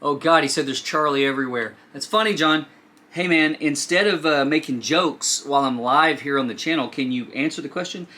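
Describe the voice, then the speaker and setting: A man's voice: fairly high pitch, brisk, sharp tone. A man hosting a casual, joking product demo, informal and teasing as he explains the features. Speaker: host of a product review show. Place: studio.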